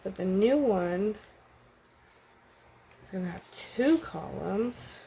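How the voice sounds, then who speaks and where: A woman's voice: mid-range voice, lively intonation, slow, gravelly, mellow, slight background noise. A woman with a stuffed-up nose, chatting casually and rambling with many ums and likes while she decorates her planner at home. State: stuffed up with a cold. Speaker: planner vlogger. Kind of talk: casual plan-with-me chat.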